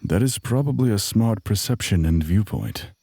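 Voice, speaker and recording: A man playing a worldly, genial traveling companion in a fantasy audio drama, speaking in formal, measured phrases. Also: lowish voice, expressive, gravelly, warm tone, dry recording, clean studio sound. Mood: composed.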